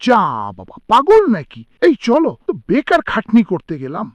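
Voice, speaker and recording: Lady doing gossipy cartoon villager, super swoopy pitch, disapproving, dull dry recording. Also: huge pitch swings, normal speed, a little hiss or hum. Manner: incredulous, exclaiming. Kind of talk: cartoon voice acting.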